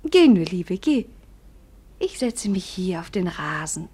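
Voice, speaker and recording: An actress playing a melancholy, dreamy young princess in a classic radio play, hushed and poetic. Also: female voice, fairly low pitch, very animated, slow. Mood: dreamy, wistful. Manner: hushed, poetic, often half-whispered.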